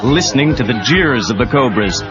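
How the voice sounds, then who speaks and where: A man narrates a children's read-along tale with animated, widely moving intonation. His voice sits in a middle register, on a muffled old cassette with prominent music behind him. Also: mid-range voice, a little fast, a loud crowd. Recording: dull lo-fi cassette tape.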